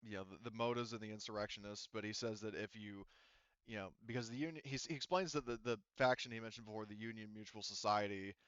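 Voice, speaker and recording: A man with a fairly low, clear and smooth voice narrates a tabletop roleplaying game as game master. His delivery is casual and halting as he improvises. The sound is dry and slightly dull. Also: steady tone, a bit bright, no echo. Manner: hesitant, casual, relaying character speech indirectly.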